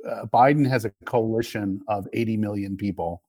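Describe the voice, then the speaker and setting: Middle-aged male guest commentator, slightly low voice, dry recording, measured and conversational as he works through arguments. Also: dark tone, dry recording. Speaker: interview guest, political commentator. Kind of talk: casual political analysis.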